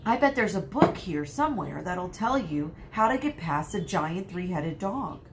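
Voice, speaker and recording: A woman's voice: fairly low pitch, big pitch swings, unhurried, background noise. A woman reading aloud voices an eager, impulsive eleven-year-old boy wizard.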